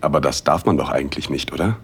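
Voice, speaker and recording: A man narrating a first-person erotic audio drama in a hushed, intimate, unhurried way, with a husky voice. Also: rumbling low, a little hiss or hum. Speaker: narrator and male lead.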